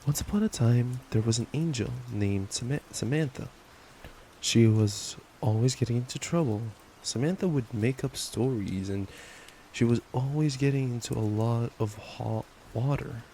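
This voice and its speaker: A man's voice: medium pitch, normal speed, rough recording. A young man doing intimate boyfriend ASMR and bedtime reading, speaking in an affectionate, casual, playful way. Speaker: ASMR roleplayer playing a boyfriend. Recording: steady rain noise. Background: rain sounds.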